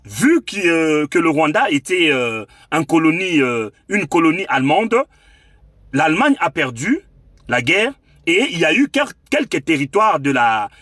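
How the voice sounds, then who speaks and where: A man's voice: on the high side, big pitch swings, unhurried, a bit bright, no echo, studio quality. A man with a Congolese accent delivering an impassioned political monologue as a commentator, emphatic and rhetorical, repeating phrases for effect. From Democratic Republic of the Congo.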